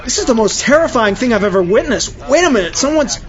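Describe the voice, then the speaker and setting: A man playing an astonished radio news reporter, halting and stammering as he describes an alien landing. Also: extremely high pitch, sing-song, a crowd in the background. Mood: awed, frightened. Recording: dull lo-fi radio sound under loud music.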